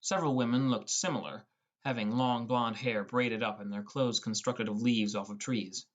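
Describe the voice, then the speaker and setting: A man's voice: mid-range voice, steady tone, normal speed. A young man reading scripted first-person narration for a fantasy adventure audiobook.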